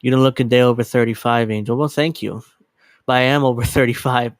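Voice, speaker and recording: A man in his thirties hosts a casual livestream in a clear, smooth voice at a middling pitch. He rambles in a scattered, self-deprecating way and swears casually. The sound is dry and close on a dedicated microphone. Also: steady tone, a little fast, dry recording. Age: 30s. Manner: casually profane. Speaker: livestream host.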